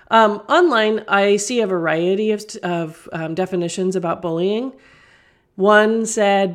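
A middle-aged woman hosting a podcast as a teacher, getting over a cold, explaining things earnestly with fillers and restarts. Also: lowish voice. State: recovering from a cold. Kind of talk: solo podcast talk.

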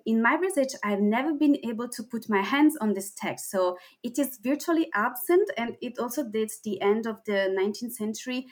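A woman researcher on an academic panel, explaining her work in a halting way with frequent pauses and restarts. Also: mid-range voice. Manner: hesitant, many fillers and restarts while explaining.

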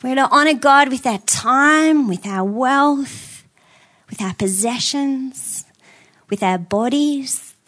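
A woman's voice: high-pitched, slow pace, a bit bright. A woman preaching a conversational sermon in a church, earnest, with self-deprecating asides. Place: church auditorium with screens.

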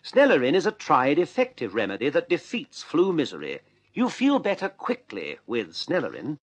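A man's voice: fairly high pitch, wildly swooping pitch, normal speed, breathy edge. A man reading a scripted radio commercial as an announcer. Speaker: advertising announcer.

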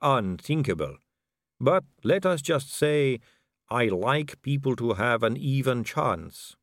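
A man voicing a suave, poised aristocratic female vampire, speaking slowly and silkily. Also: medium pitch, sing-song, no room sound, clean studio sound, pitched above the performer's own voice. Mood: silky. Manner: slow, silky, languid delivery.

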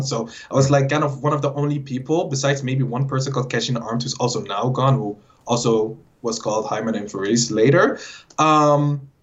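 A young Dutch man with a Dutch accent, a guest chatting casually and rambling quickly with lots of fillers and sudden lively jumps in his voice. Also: mid-range voice. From Netherlands. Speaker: guest YouTuber. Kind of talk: casual interview chat. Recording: dull lo-fi audio.

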